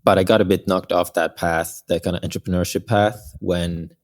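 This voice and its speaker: A man in his thirties, a guest answering interview questions in a relaxed, hesitant way with occasional chuckles. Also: sits low, moderate pace. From Norway. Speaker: guest, company founder.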